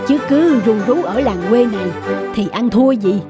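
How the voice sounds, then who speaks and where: A woman performs a crafty, stout trader man in a cartoon folk tale. He talks breezily and boastfully in a fairly high voice, with music behind. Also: lively intonation, moderate pace, lightly acted.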